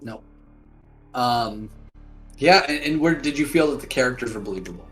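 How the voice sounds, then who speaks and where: Male game master, mid pitch, casual and jokey, curses a lot, faint music underneath. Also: expressive, normal speed, fairly bright tone. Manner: joking, swears freely. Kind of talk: casual post-game chat.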